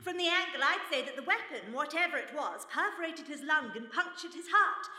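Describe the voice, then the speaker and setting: A woman playing a brisk, unflappable 1920s lady investigator in a radio play, with a crisp, composed English manner of speaking. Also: sits high, lively intonation, bright, forceful tone, full character voice. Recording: echoey hall, live stage recording.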